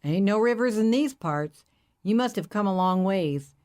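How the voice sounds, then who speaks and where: A woman's voice: medium pitch, lively intonation, moderate pace, a little mellow, close to an everyday voice. A woman voicing a genial middle-aged male hippie truck driver who speaks in a folksy, easygoing drawl.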